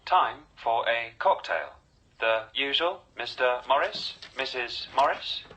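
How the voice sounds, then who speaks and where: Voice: mid-range voice, expressive, takes it slowly, pushed and bright, a little hiss or hum, poor audio. An actor in a radio drama voicing an impassive, servile household machine. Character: impassive household machine announcing time and alerts.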